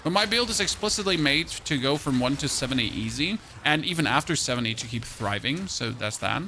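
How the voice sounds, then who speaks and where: A man's voice: lively intonation, fairly quick, bright, forceful tone, a little hiss or hum. A man streaming a game and chatting casually with viewers, rambling and repeating words as he restarts his sentences. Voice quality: high-pitched voice. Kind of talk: casual gameplay chat with viewers.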